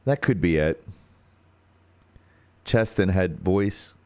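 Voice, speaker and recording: A deep-voiced American man chatting casually on a livestream, his low radio-style tone rising and falling with plenty of movement. He thinks out loud, hunting for the right words, and his pitch sits on the low side. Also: expressive, slow pace. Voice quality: turns nasal when singing high. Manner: thinks aloud, searching for words. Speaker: musician hosting a livestream.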